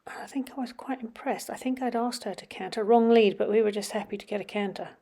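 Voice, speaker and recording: Chatty sniffly mum narrating riding clips. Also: female voice, a little high, quick pace. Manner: chatty, many ums, drops to quiet murmurs while watching. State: sniffly with a runny nose. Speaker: vlogger. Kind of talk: casual voice-over commentary on riding videos.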